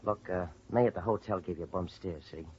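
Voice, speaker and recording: Male actor as shady, wary petty crook, very deep American voice, slangy, 1950s radio crime drama, muffled recording. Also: fairly quick, darkish tone, lightly acted. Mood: wary, conciliatory. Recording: dull, noisy vintage radio recording.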